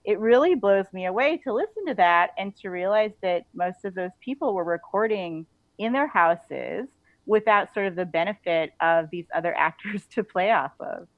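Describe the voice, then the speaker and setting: A woman's voice: mid-range voice, animated, normal speed, no room sound. A woman talking effusively and casually as an author being interviewed, in a loose conversational way full of "like". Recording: band-limited, slightly dull audio.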